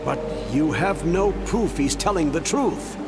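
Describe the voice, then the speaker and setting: A man acting a somber, embittered townsman bound to his ancestral land, speaking gravely and earnestly in a video game drama. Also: on the high side, expressive, measured pace. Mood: bitter, protesting. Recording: music behind the voice.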